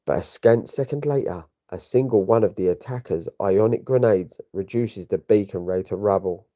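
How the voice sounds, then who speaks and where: A man's voice: lowish voice, normal speed, dark tone. A man giving a theatrical, grandiose scripted narration of a comic-book story. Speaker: narrator of a comic read-along.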